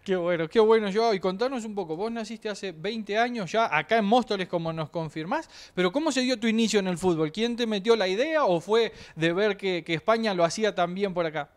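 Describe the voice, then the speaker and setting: Male interviewer, South American accent, notably high pitch, conversational sports-chat delivery. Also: fairly quick, hardly any pauses. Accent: South American Spanish. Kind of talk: casual interview questions.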